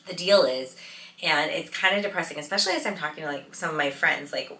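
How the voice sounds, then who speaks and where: A woman's voice: low-pitched, quick pace, pushed and bright. A woman in her late thirties hosting a solo podcast, talking informally and emphatically, repeating words for stress. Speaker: podcast host, physical therapist. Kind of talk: casual solo podcast talk. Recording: roomy echo, distant from mic.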